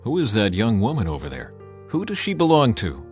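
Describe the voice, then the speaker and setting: A man's voice: fairly low pitch, huge pitch swings, brisk, faint music behind. A man voicing a kindly, protective wealthy landowner in an audiobook, instructing and reassuring. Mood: curious.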